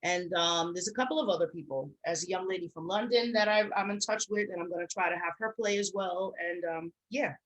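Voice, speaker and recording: A woman's voice: lowish voice, brisk, hardly any pauses, rough recording. A middle-aged woman co-hosting a show, speaking in casual, reflective conversation. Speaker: co-host of an online talk show.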